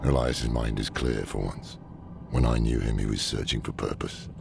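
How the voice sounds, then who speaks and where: Old scholar-warrior for a fantasy game: extremely low, heavily creaky, dry and grim, score underneath. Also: male voice, fairly even intonation, moderate pace, full character voice. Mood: grim, regretful. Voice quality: deep gravelly voice. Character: weary, wry old male scholar-warrior mentor. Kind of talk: dramatic video game acting. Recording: music behind the voice.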